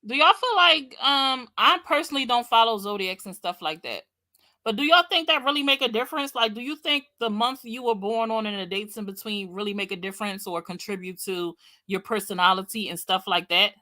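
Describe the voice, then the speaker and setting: A woman's voice: fairly high pitch, brisk, sharp tone. A woman co-hosting a live stream, reading comments and putting questions to the viewers in a casual, inquisitive way. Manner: inquisitive, poses questions to the chat. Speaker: livestream co-host reading comments.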